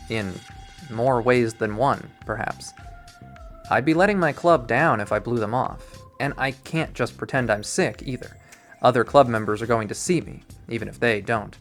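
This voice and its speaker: A man narrating a first-person visual novel readthrough in an expressive, theatrical way. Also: medium pitch.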